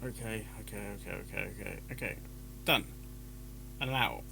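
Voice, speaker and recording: A man's voice: fairly low pitch, moderate pace, vocal fry, music in the background. A man chatting casually as a live-stream reactor, stumbling over his words and interrupting himself. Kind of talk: casual live-stream chat with rehearsed intros.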